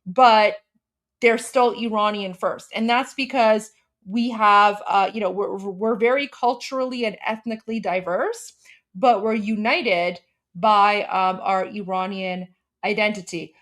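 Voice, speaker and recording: A woman's voice: medium pitch, fairly even intonation, measured pace, a bit bright. A woman from Iran co-hosting a live stream, giving heated, opinionated commentary and talking straight to her viewers. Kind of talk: casual livestream commentary.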